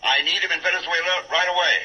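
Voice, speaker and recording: A man's voice: fairly high pitch, fairly even intonation, quick pace, pushed and bright, husky, exaggerated voice. An actor playing a cool, dependable male secret agent, matter-of-fact, in a 1940s radio drama. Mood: businesslike. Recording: very noisy old radio recording.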